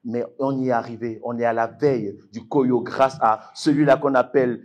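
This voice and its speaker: A man's voice: mid-range voice, steady tone, moderate pace, darkish tone. A man with an Ivorian accent, working as master of ceremonies at a fight event, hyping up a live audience with emphatic, repeated phrases.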